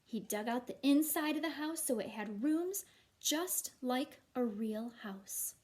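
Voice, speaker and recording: High-voiced, expressive woman reading to children. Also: measured pace. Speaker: teacher reading aloud to class. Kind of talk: expressive children's read-aloud with casual asides.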